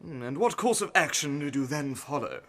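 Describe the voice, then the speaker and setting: An actor in a radio play playing a formal coroner, probing a witness with official questions. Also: male voice, fairly high pitch, lively intonation, normal speed, a bit bright.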